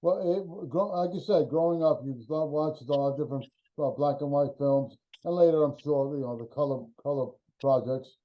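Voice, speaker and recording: A man interviewing a guest, asking hesitant, halting questions in a casual chat. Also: fairly high pitch. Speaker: interviewer host. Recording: dull lo-fi sound.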